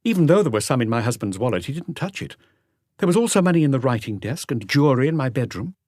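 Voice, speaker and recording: A man voicing an anxious, dignified middle-aged widow with a halting, earnest, stiffly polite manner in an audiobook. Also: medium pitch, sing-song, a little mellow, no room sound, clean studio sound, pitched above the performer's own voice. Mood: firm, defensive.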